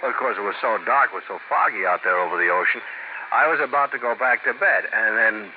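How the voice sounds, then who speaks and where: A man's voice: fairly low pitch, fast talker, lightly acted. Man playing a nervous, stammering witness. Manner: hesitant, eager to agree. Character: nervous, stammering male beach resident witness. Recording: tinny old radio recording, faint noise.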